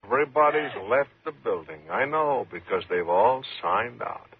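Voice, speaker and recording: An actor playing a coolly taunting, sinister night elevator operator in a 1950s radio thriller, laconic and ominous. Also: male voice, mid-range voice, lively intonation, moderate pace, heavy background noise, close to an everyday voice. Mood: taunting, menacing. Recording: muffled, tinny 1950s radio recording.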